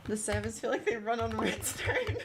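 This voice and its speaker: A woman's voice: on the high side, sing-song, slow pace, noisy background. A woman with an Australian accent, chatting casually as a streamer, laughing often and rambling with fillers. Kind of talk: casual chat.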